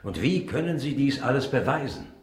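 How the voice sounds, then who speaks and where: An actor playing a stern presiding judge in a radio drama, questioning with authority. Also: male voice, medium pitch, big pitch swings, moderate pace. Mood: probing. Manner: authoritative, interrogating. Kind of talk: German radio drama acting. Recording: echoey courtroom with background noise.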